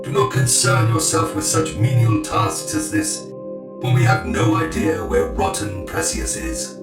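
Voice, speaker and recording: A man playing a haughty, elderly machine-priest lord in an audio drama, speaking pompously in a breathy, unvoiced rasp. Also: mid-range voice, big pitch swings. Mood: condescending. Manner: pompous, condescending, deliberate. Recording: echoing voice under loud music.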